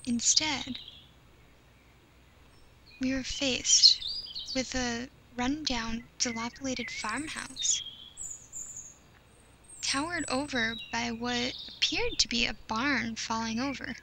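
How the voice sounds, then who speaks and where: A woman reading a scripted first-person horror story in a measured, deliberate way, pausing between phrases. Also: a little high. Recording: clear background noise of nature ambience.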